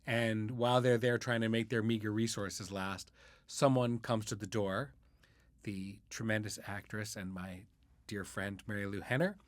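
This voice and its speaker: A man's voice: lowish voice, fairly even intonation, moderate pace, dry recording. A man hosting a podcast interview in a casual, conversational style. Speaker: podcast host.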